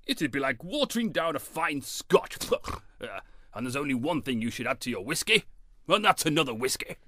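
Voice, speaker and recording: Pompous, high-pitched snob horse. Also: male voice, sharp tone, a little hiss or hum. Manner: pompous, blustering, whinnying. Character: pompous, snobbish pedigree male horse. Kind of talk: comedy radio play acting.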